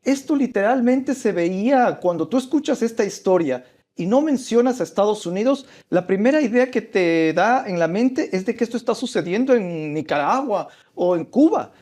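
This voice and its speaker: A man giving emphatic, measured political commentary as a panelist on a talk show. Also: sits high, expressive, a little mellow.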